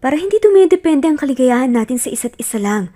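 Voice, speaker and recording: A woman voicing a gentle, remorseful man pleading earnestly with his former girlfriend in a romance audio story. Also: high voice, very animated, fast. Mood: earnest, explaining.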